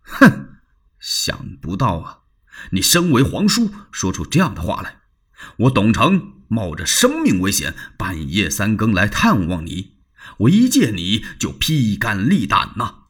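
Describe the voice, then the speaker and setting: A man voicing an anxious, earnest older court noble in a traditional told story, pleading and husky. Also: mid-range voice, huge pitch swings, takes it slowly, full character voice. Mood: aggrieved. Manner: urgent, pleading, turns tearful. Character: anxious loyal older male imperial in-law, earnest.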